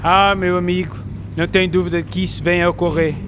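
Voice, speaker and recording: Radio drama: actor as a confident old-world French writer, high-voiced and formal, with traffic noise behind him. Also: male voice, level tone, fast talker, natural voice. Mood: earnest. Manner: assured and warmly formal. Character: confident, kindly male 19th-century French novelist.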